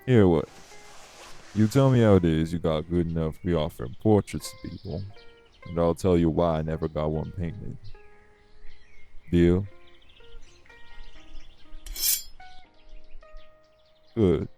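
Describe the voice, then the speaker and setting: Man playing a deep gravelly, world-weary male vampire. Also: mellow, soft background music. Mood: teasing, curious. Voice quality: low, gravelly. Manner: drawling, folksy, unhurried, wry. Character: world-weary, guarded old male vampire, gruff but curious. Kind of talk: slow, intimate audio roleplay acting.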